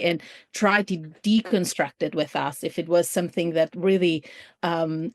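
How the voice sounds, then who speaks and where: A woman's voice: lowish voice, darkish tone, dry recording. A middle-aged woman with an Albanian accent, telling personal stories conversationally as an interview guest. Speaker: podcast guest, ministry field coordinator.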